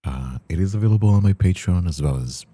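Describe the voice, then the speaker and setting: A man's voice: low voice, animated, fast talker, mellow. A man who works as a VTuber and voice actor, talking casually to his viewers in a video outro.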